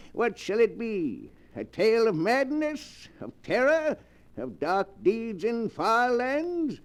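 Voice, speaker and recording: An actor playing an eerie old keeper of dark tales in a theatrical, ominous 1940s radio horror style. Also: male voice, high-pitched, vocal fry, heavily acted.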